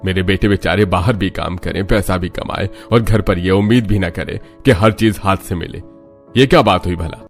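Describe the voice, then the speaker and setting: Man doing a gruff old cartoon father-in-law, very low and grumbling, soft music underneath. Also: moderate pace. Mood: indignant. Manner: grumbling, self-righteous. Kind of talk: Hindi cartoon story dialogue.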